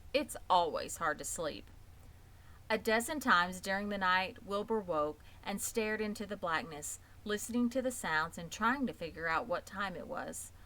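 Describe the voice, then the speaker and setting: A woman's voice: mid-range voice, fairly bright tone. A woman reading scripted narration from a children's book as a storybook reader. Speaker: audiobook reader.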